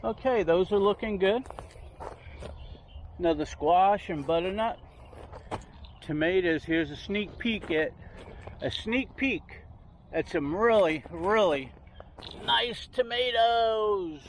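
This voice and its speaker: A man's voice: high voice, a little hiss or hum. A man giving a casual, rambling tour of his backyard garden, recorded outdoors on a handheld phone. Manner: rambling, unhurried, points things out as he walks.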